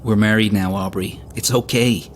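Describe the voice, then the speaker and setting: A man's voice: lowish voice, fairly even intonation, normal speed, natural voice. Man playing a prim young husband, faint vehicle noise. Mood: tender, teasing. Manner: mild, prim, gently teasing. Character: earnest, proper, devout young newlywed husband. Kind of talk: naturalistic audio drama acting.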